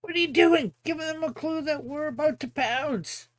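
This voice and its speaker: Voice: high-pitched, normal speed, dry recording, pitched above the performer's own voice, natural voice. A performer voicing a haughty villain sidekick who scolds in a fussy, indignant way. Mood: exasperated.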